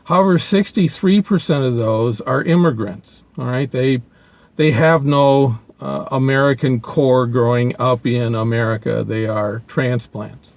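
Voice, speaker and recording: A man's voice: mid-range voice, creaky, dry recording. Older guy rambling his opinions to the camera, lots of uhs and restarts. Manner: halting, many fillers and restarts. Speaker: video blogger. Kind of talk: casual opinion monologue.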